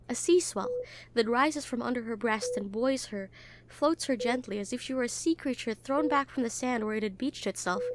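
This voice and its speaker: A woman with a high voice reads literary prose aloud. She phrases it expressively, in the manner of a story narrator. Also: background noise. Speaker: audio roleplay voice actor reading a novel aloud. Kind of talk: expressive literary book reading.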